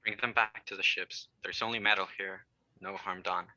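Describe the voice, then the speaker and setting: A man playing a shaken, regretful father in an audio drama table read, speaking haltingly. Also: sits low, steady tone, sharp tone, no room sound, dull audio. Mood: subdued.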